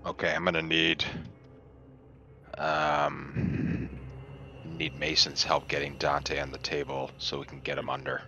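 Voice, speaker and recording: Very deep, gravelly man. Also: little pitch movement, measured pace, pauses often, pushed and bright, a little hiss or hum. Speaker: tabletop game player. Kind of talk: casual in-game chat.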